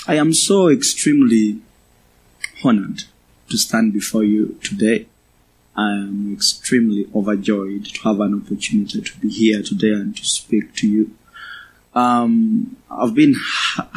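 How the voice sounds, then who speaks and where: A man in his twenties with a Ugandan accent, giving a personal testimony as a guest speaker to a church, in a measured, halting way. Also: on the low side, husky, low-quality recording. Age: 20s.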